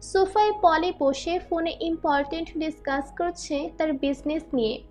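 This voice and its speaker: Female narrator for scripted dramatic fiction, high register, faint music bed. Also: moderate pace, darkish tone. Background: faint background music.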